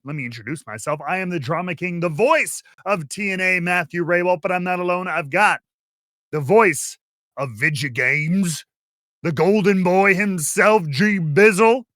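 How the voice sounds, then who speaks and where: Bright, punchy male podcast host. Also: high voice, normal speed, no echo, clean studio sound. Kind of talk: animated show introduction and wrestling preview.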